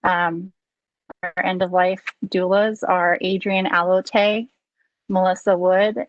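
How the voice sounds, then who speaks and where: Woman, webinar moderator, monotone, hesitant, dry dull sound. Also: mid-range voice, dry recording. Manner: hesitant, many ums. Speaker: program director, panel moderator. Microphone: video call.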